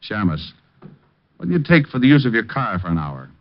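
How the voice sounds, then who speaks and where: A man's voice: fairly low pitch, big pitch swings, a little fast. An actor playing a dry, sardonic private detective who narrates his own case in a 1950s radio drama. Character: wisecracking, unflappable male private eye. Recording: muffled old radio broadcast.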